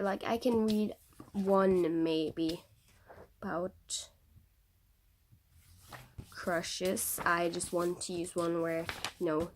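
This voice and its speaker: A woman's voice: on the low side, background noise. A young woman from Norway with a Norwegian accent, speaking English as a second language, chatting casually and reading passages aloud from a book, with many fillers and restarts. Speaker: vlogger.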